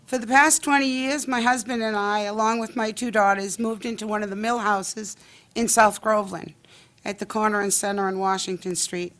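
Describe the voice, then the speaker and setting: A woman's voice: mid-range voice, steady tone, normal speed, fairly bright tone. A woman from Massachusetts with a big voice, a candidate at a local forum, speaking in a self-assured, joking manner. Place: public candidates forum in a meeting hall.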